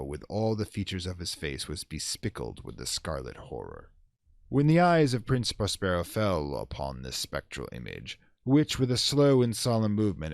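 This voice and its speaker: Deep, dramatic male horror narrator. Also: dry recording, clean studio sound. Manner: theatrical, solemn, measured phrasing with dramatic pauses. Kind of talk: dramatic reading of gothic horror.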